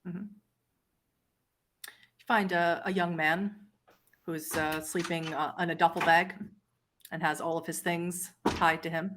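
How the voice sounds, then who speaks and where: A woman running a tabletop horror roleplay as game master, narrating scenes in a descriptive, pausing storyteller way. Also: on the low side, fairly even intonation, background noise.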